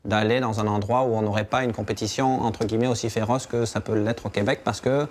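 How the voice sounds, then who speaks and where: A man, telling his immigration story in a relaxed testimonial interview, with hesitant fillers. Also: fairly low pitch, brisk. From France. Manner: relaxed, hesitant with fillers, animated when quoting.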